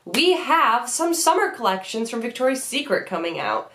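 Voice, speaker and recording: A woman's voice: a little high, big pitch swings, moderate pace, a bit bright. Casting: young woman, stuffy from a cold, upbeat chatty vlogger, filler-heavy, recorded in a slightly echoey room. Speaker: beauty vlogger. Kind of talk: casual product review. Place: bedroom vanity room, indoors.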